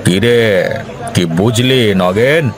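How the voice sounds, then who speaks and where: A man's voice: mid-range voice, slow pace, crowd noise, lightly acted. A man voicing a crafty, self-assured landlord in a cartoon story, talking down to his servant in a scheming way. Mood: satisfied. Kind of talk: Bengali cartoon moral-story dialogue.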